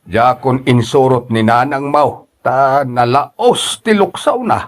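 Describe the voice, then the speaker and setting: Slow-talking Ilocano father, chiding, played by a man. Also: medium pitch, natural voice. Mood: calming, grave. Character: older father, goading tale-bearer to his son. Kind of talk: Ilocano audio drama acting.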